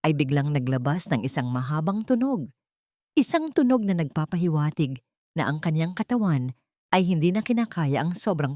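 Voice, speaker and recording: A woman's voice: on the low side, darkish tone, dry recording, clean studio sound. A woman narrating a scripted drama story in a solemn, melodramatic storytelling manner.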